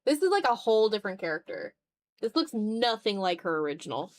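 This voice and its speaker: A woman's voice: medium pitch, very animated, brisk. A woman chatting casually on an art livestream, thinking aloud in a hesitant, self-deprecating way. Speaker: streamer and content creator. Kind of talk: casual livestream chat while drawing.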